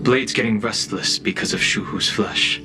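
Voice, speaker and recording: Man voicing steady game ally, lowish pitch, serious, calm, responsible, background music. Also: normal speed, lightly acted. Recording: clear music behind the voice.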